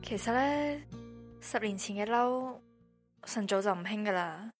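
A woman acting as a composed, probing and quietly wounded first love in a Cantonese radio drama. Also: mid-range voice, animated, a little slow, natural voice. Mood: cool, resolved. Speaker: voice actor playing the first love. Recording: music under the dialogue.

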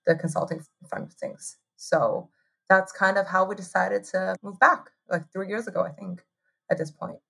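A woman's voice: mid-range voice, normal speed, creaky, fairly bright tone, slightly rough. A woman in her thirties from Taiwan, telling her story as an interview guest in a chatty way, laughing often and filling with "like". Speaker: guest, hot sauce co-founder.

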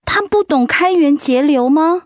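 A woman's voice: high-pitched, animated, measured pace, no room sound, studio quality. A woman acting a sympathetic, curious listener in a conversational radio drama.

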